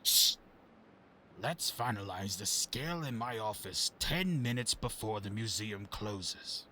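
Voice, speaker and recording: A man's voice: on the low side, animated, slow pace, pushed and bright, big-room sound, a little hiss or hum, exaggerated voice. A man playing a greedy, blustering museum investor in an audio drama. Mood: evasive.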